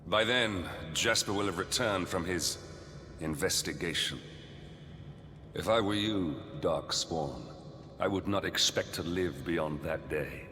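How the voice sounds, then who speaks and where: An actor playing a stern, menacing male interrogator in a video game cutscene, speaking slowly and with imposing deliberation. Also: sharp tone. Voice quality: deep, slow, imposing. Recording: game cutscene with long reverb and music.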